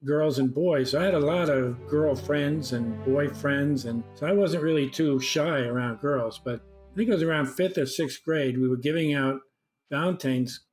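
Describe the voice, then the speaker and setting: A man's voice: a little high, normal speed, darkish tone, poor audio. An older man casually sharing personal reminiscences in a group discussion. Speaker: discussion participant. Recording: music behind the voice.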